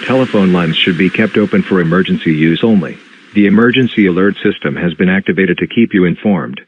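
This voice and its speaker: A man playing a solemn, official emergency alert announcer, reading formal, measured scripted notices. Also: sits low, a little hiss or hum, natural voice. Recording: muffled lo-fi broadcast audio.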